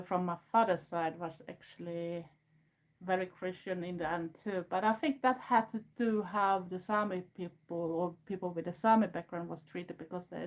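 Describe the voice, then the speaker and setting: A woman in her fifties with a Swedish accent, a native Swedish speaker, chatting casually and frankly with viewers on a livestream in plain, earnest second-language English. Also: lowish voice. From Sweden. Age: 50s. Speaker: goth YouTuber hosting a livestream. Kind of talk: casual live chat answering viewers.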